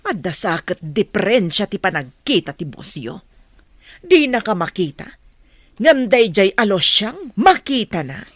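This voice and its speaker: A scheming filipina mom, prying, swoops up and down, radio drama, dry studio sound. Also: female voice, medium pitch, sing-song, measured pace, no room sound. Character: scheming, prodding mother of a young office worker.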